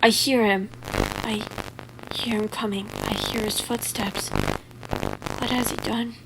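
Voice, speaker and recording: A woman voicing a frightened, haunted wolf critter in hushed, halting, ominous horror lines, recorded quietly under loud noise. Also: fairly high pitch, steady tone. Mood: fearful, urgent. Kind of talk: horror game style character lines.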